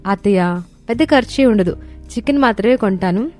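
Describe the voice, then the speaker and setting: A woman's voice: mid-range voice, expressive, moderate pace, a little mellow, lightly acted. A woman voicing a clever, respectful young daughter-in-law in a cartoon family story, polite and earnest. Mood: eager, polite. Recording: music bed under voice.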